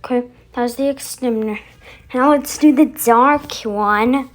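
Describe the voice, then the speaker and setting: A woman's voice: sits high, moderate pace, slight background noise. A woman hosting a channel, casually talking through a demo of voice effects. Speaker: channel host.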